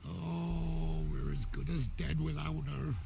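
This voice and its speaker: An actor playing a poor, humble peasant farmer in a video game, desperate and pleading in a halting, creaky voice. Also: male voice, a little high, dark tone, slight background noise, heavily acted. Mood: despairing. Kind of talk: video game drama acting.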